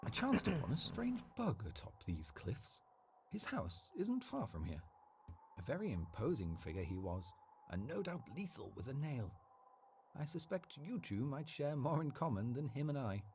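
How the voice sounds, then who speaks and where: A man's voice: medium pitch, sing-song, normal speed, a little mellow, a little hiss or hum. A man playing a genial, mild-mannered bug mapmaker in a game, chatting politely and genteelly. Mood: genial, chatty.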